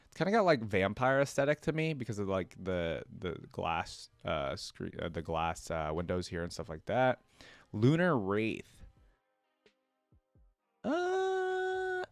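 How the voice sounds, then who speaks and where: A man's voice: fairly low pitch, dry recording. A man hosting a reaction video, commenting casually and informally, thinking aloud as he browses and reads.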